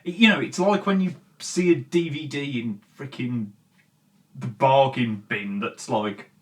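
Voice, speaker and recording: A man's voice: mid-range voice, a little slow. A man hosting a commentary show, talking casually and bluntly in an exasperated, sweary way. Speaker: host of a film commentary show.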